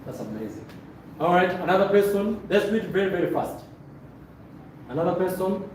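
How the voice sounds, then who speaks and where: Zambian man, fairly high-voiced, directive course trainer addressing a room; very echoey. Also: big pitch swings, brisk. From Luapula, Zambia. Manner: directive, checks in with listeners, repeats phrases. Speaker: trainer leading a presentation course. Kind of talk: motivational seminar instruction to a live room. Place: indoor training room with stage. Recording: very echoey room, distant mic, noisy.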